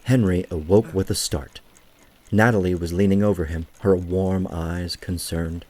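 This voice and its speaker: Very deep, husky male story narrator. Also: steady tone, unhurried, mellow. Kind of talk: scripted story narration, audio drama.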